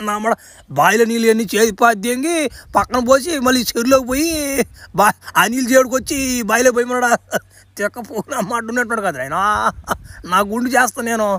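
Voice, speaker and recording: A man's voice: very high-pitched, quick pace, fairly bright tone, no room sound. A man voicing a stubborn, complaining young man in a cartoon comedy. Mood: indignant. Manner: complaining, slangy. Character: whiny, stubborn young man grumbling at orders.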